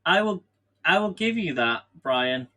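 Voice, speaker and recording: A man's voice: high voice, animated, measured pace, pushed and bright. An English man hosting a casual live stream from his home kitchen, chatting and running a quiz while he bakes, rambling and self-deprecating with frequent laughs. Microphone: camera on a stand.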